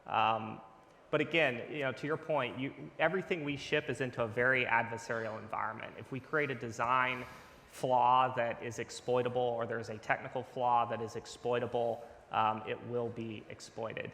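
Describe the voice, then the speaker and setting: A man with a bright, forceful voice of average pitch gives a confident panel answer. He explains fluently. The sound is strongly echoey, as in a large hall. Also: steady tone, moderate pace. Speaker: panelist, game company leader. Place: large conference event hall. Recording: echoey hall with faint noise.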